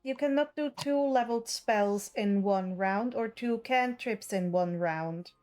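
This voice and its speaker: A woman's voice: medium pitch, unhurried, darkish tone. A woman narrating and refereeing a tabletop roleplaying game as the game master. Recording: music behind the voice. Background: faint music.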